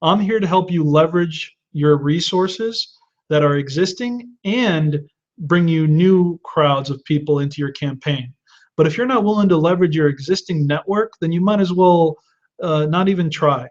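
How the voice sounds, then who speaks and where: Earnest man in his thirties, lo-fi audio. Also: a little high. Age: 30s. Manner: earnest advice-giving with frequent restarts and fillers. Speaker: host answering viewer questions. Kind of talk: live webinar Q&A talk.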